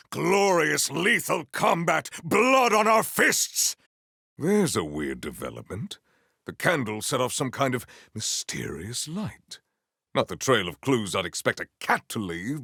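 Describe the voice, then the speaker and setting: An actor playing a proud, honorable warrior in a video game, with formal, stately, theatrical diction. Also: male voice, medium pitch, big pitch swings, a little slow, creaky, sharp tone, slightly rough, dry recording, studio quality, heavily acted. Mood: fierce, exultant, then measured, curious. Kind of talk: video game character voice lines.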